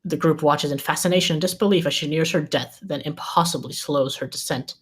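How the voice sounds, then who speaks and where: A woman's voice: low-pitched, fairly quick, breathy edge. A woman reading a script's scene descriptions aloud as narrator at a table read.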